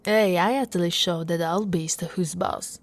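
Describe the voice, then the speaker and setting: A woman's voice: lowish voice, steady tone, unhurried. A woman from Italy voicing an affectionate, playfully boastful orc warrior wife in an intimate, teasing ASMR roleplay, often dropping to a whisper. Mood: amused, boastful.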